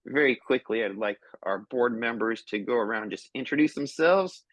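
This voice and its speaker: A man's voice: mid-range voice, moderate pace, a bit bright, no echo. A man hosting an online webinar over a video call, upbeat and loose with fillers as he introduces people and sponsors. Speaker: webinar host.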